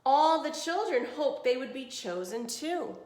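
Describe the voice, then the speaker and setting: Woman, fairly high voice, expressive picture-book read-aloud, teacherly asides, roomy echo. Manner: animated storytelling, pausing to explain and question listeners.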